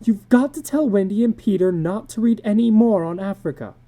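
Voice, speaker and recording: A woman's voice: medium pitch, fairly quick, dark tone, pitched above the performer's own voice, lightly acted. A woman voicing a frightened, pleading housewife in a dramatic story reading, anxious and insistent.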